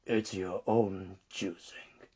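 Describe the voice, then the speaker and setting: A man voicing a dour old man in a story reading, with a slow, droning, half-whispered delivery. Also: sits low, fairly even intonation, dark tone, breathy edge, dull audio, voice pushed down, heavily acted. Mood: ominous, grudging. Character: old man with a withered arm, dour, ominous, grudging.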